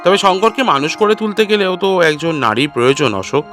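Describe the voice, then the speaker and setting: A man voicing a calm, reasonable detective in an audio story, speaking as a reassuring, reflective older friend. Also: high-pitched, brisk, over music, close to an everyday voice. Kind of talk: audio story character dialogue.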